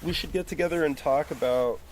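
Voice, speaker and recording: A man's voice: a little high, fairly quick, over a noisy crowd, poor audio. A man talking casually as a podcast guest outdoors, agreeable and slangy in an easygoing way. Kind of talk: casual chat. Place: outdoors on a porch.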